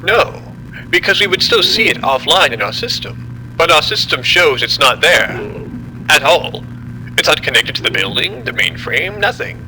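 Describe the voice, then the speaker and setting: A man playing a formal, measured scientist who explains a disaster in an audio drama. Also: on the high side, very animated, bright, forceful tone, a little hiss or hum, exaggerated voice, poor audio. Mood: measured, explaining. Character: apologetic, condescending male scientist explaining a disaster.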